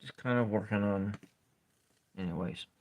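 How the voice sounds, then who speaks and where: A man with a calm voice chatting softly with viewers while he draws and colors tarot cards, trailing off and restarting as he works. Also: moderate pace. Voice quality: calm speaking voice. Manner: quiet, low-key chatting with viewers. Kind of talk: soft-spoken ASMR art livestream chat.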